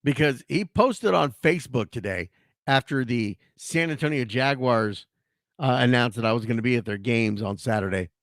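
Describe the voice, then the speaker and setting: A hoarse man hosting a sports talk show, ranting in a casual, animated way with frequent restarts. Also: mid-range voice, moderate pace, no room sound, studio quality.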